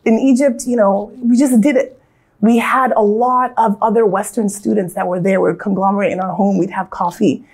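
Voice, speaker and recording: A woman's voice: mid-range voice, steady tone, fairly quick, a little mellow, breathy edge. An American woman from Southern California, in her thirties, reflecting earnestly on her life in a casual, storytelling way, with frequent restarts.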